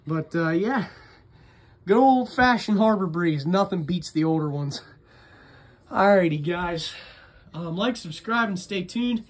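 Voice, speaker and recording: High-voiced man chatting casually. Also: lively intonation, measured pace, long pauses. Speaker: vlogger, ceiling fan collector. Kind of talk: casual unscripted show-and-tell video narration.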